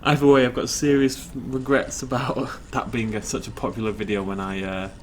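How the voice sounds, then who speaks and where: A man's voice: mid-range voice, level tone, normal speed, slight background noise. A man casually rambling while commenting on his own gameplay, chatty and self-deprecating. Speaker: let's play host.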